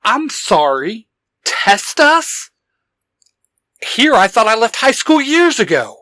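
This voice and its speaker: A woman's voice: fairly high pitch, sing-song, slow pace, fairly bright tone, no room sound, clean studio sound, exaggerated voice. Woman acting a sarcastic, wildly swinging hot-headed hero. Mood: indignant. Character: sarcastic, distrustful, hot-headed male team leader. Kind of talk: dramatic audiobook character acting.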